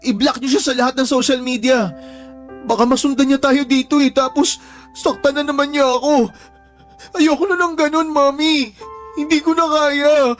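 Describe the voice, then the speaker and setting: A woman's voice: high-pitched, steady tone, moderate pace, close to an everyday voice. A woman playing a frightened, affectionate younger son in a radio drama, speaking in a fragile, pleading way. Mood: scared pleading. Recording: faint music bed behind the voice.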